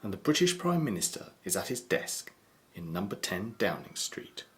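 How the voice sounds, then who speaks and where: A man playing a composed, grave storyteller who narrates a horror audio drama in a measured way. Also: on the low side, big pitch swings, fairly bright tone.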